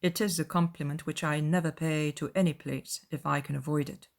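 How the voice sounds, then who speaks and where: Casting: female narrator as proud aloof gentleman, formal and dry, lowish gravelly tone, dramatized novel. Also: fairly even intonation, brisk. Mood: aloof, curt.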